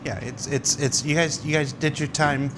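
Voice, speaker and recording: A man's voice: on the high side, fairly even intonation, normal speed, noisy background. A man hosting a conversational talk show, explaining things and putting questions to guests. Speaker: show host.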